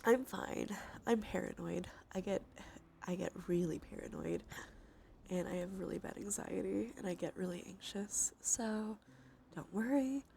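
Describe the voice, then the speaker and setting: A woman streams cozy ASMR in a soft voice with audible vocal fry, often slipping into whispers. She sounds congested and chats in a gentle, soothing way. A faint layer of ambient noise sits behind her. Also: mid-range voice, sing-song, unhurried. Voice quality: breathy, often dropping into whispers. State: stuffy nose, congested. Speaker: livestreamer hosting an ASMR stream. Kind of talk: cozy ASMR, casual chat while gaming.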